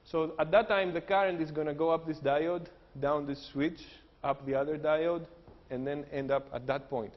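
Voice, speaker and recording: A man's voice: on the high side, steady tone, moderate pace, hardly any pauses. Greek-accented man lecturing in a hall. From Greece. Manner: joking, explanatory, frequent uh fillers. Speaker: engineering professor. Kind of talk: technical lecture with jokes. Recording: dull lo-fi hall recording with long reverb.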